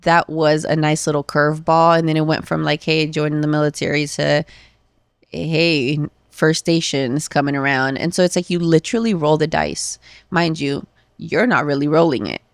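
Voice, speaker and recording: A woman's voice: low-pitched, steady tone, normal speed, no echo, clean studio sound. A woman in her thirties from Los Angeles, a podcast guest talking candidly and emotionally, with lots of filler words. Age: 30s. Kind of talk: casual conversational interview.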